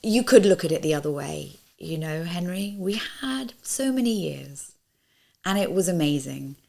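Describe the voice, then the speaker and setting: Gravelly low-ish woman, wry and candid. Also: normal speed. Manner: wry, animated storytelling. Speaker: former panto cast member. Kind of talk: candid interview.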